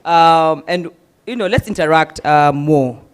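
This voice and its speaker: A man with a Kenyan accent moderating a university town hall forum, animated and mixing in Swahili phrases. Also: a little high, measured pace, smooth. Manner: animated, mixes Swahili phrases, repeats himself.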